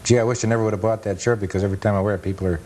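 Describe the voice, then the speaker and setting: A man's voice: fairly low pitch, fairly even intonation, racing, a little mellow, slight background noise. A man speaking as an interview guest, explaining things plainly like an enthusiast narrating old film. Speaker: guest author and amusement park enthusiast. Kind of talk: interview answers and film commentary.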